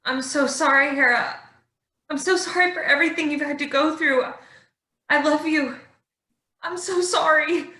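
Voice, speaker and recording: A woman reading a defiant, sardonic fighter, high bright voice. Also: steady tone, normal speed, long pauses, echoey room. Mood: remorseful. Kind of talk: staged play reading.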